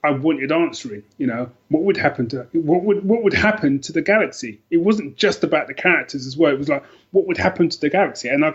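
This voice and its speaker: A man's voice: medium pitch, slightly rough. A middle-aged British man chatting casually and reflectively as a podcast guest, often repeating and rephrasing as he thinks aloud. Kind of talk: casual chat about favourite books. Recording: dull, lo-fi podcast audio.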